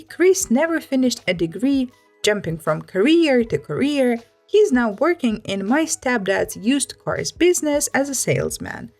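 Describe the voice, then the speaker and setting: A woman reads a written first-person story aloud as a narrator. Her voice sits a little high and moves through lively, animated rises and falls. Music plays along behind the narration. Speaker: narrator reading online stories aloud.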